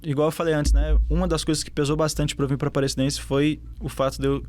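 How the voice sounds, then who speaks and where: A man's voice: on the high side, level tone, fast talker, a little mellow. A young man with a southern Brazilian accent, a footballer answering interview questions casually, with many fillers and restarts. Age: young adult. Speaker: footballer guest.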